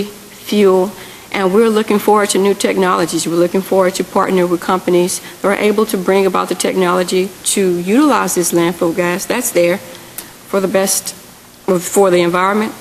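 A woman in her thirties presenting on a policy panel into a table microphone in a large hearing room, earnest and correcting herself as she goes. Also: lowish voice. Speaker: panel speaker. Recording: long hall reverb, faint hiss.

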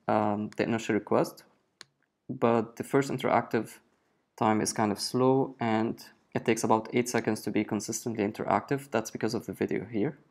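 A man in his thirties talking through a software tool in a relaxed screencast, with frequent fillers as he explains. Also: medium pitch. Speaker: web developer presenting a screencast. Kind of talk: casual screencast walkthrough.

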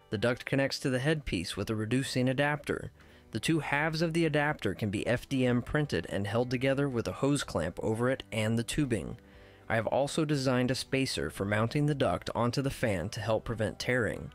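A man with an ordinary mid-range voice narrates a technical tutorial from a script. His delivery is measured and precise, explaining each step plainly. Faint music plays quietly behind him. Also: medium pitch.

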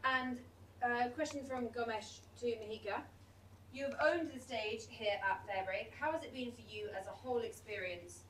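A woman's voice: on the high side, normal speed, fairly bright tone, far from the mic, poor audio. A woman moderator puts questions to the players in a friendly, chatty manner. She sounds set back from the microphone, with a faint hum of room noise behind her. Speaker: press conference moderator. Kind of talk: asking interview questions.

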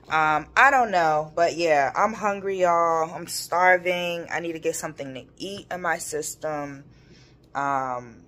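A woman's voice: low-pitched, fairly bright tone. A young American woman chatting casually and slangily to a vlog audience. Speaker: vlogger. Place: home kitchen, indoors. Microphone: phone at arm's length.

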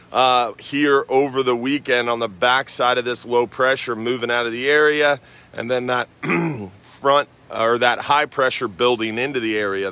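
An American man giving a casual outdoor fishing and weather report for a marina, relaxed and rambling with frequent fillers. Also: mid-range voice.

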